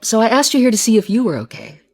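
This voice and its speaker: A woman playing a sympathetic, gently questioning confidante in a comic science fiction radio drama. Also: a little high, sing-song, fast. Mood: probing.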